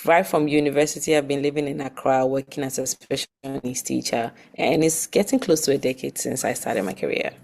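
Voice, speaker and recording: A woman's voice: very deep voice, a little fast, vocal fry, few pauses, darkish tone. A woman in her thirties with a Ghanaian accent, a podcast guest telling her own story casually. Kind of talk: casual personal storytelling in an interview.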